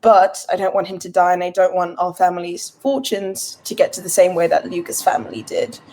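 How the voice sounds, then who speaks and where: A woman's voice: on the low side, a little fast, dark tone, slight background noise, poor audio. A woman chatting casually as a tabletop game player, informal and peppering her sentences with "like". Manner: informal, heavy use of "like". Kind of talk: casual chat.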